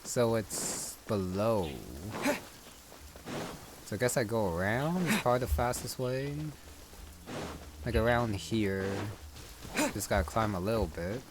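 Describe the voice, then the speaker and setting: A man casually commenting while playing a game, thinking out loud as he goes. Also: lowish voice, animated, a little slow, long pauses, background noise. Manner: musing, thinks aloud. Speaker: gaming youtuber. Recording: voice over game music.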